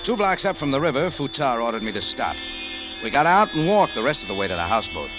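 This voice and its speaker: A man's voice: medium pitch, big pitch swings, quick pace, close to an everyday voice. An actor playing a tough, wry private investigator, narrating his case in 1940s radio style. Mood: tense, narrating. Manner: hard-boiled, wry. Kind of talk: 1940s radio acting, first-person narration. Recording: old radio drama recording with music.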